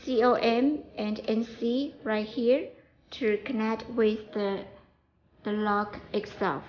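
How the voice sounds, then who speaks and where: A woman giving an informal step-by-step product tutorial in halting, non-native English, repeating her instructions. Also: fairly high pitch, fairly even intonation, lo-fi sound, a little hiss or hum. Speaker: product support demonstrator.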